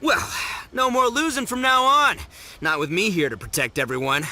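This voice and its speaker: A man playing a brash, dim-witted, boastful young oni gangster in a video game, loud and theatrical with slangy bravado. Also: high voice, sing-song, moderate pace, a little hiss or hum, full character voice. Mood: boastful, blustering. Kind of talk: animated video game voice acting.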